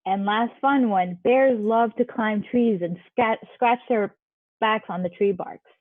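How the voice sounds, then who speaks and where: A woman's voice: mid-range voice, unhurried, no room sound. A woman leading a children's activity, giving simple instructions and encouragement to young kids. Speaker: children's game leader. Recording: dull, lo-fi, dry audio.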